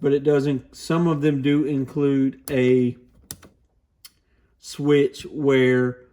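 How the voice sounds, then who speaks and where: A man's voice: mid-range voice, flat delivery, very slow, mellow. A man hosting a video, giving a casual, informal product overview and explaining things as he goes. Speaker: host of a CB radio channel.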